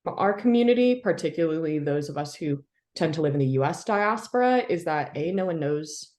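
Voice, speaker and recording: A woman with a notably low voice speaks as an interview guest and historian. She talks in a reflective, halting way, pausing often to search for words. She is from the United States. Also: lively intonation. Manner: thoughtful, halting, many fillers and soft laughs.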